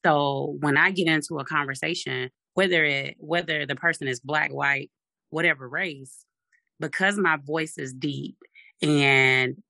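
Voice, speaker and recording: Deep raspy speaker, firm and assertive. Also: fairly even intonation, measured pace, pushed and bright, no echo. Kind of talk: casual podcast discussion.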